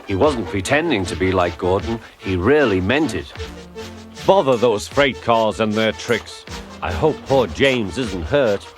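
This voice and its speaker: Male storybook narrator, low register, very lively intonation, expressive character reading, music underneath. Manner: expressive, acts out character voices. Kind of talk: scripted children's story narration.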